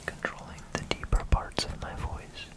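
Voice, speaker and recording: A man whispering casual, rambling ASMR chatter with many fillers while building bricks, his voice slightly nasal. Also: moderate pace. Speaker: ASMR creator.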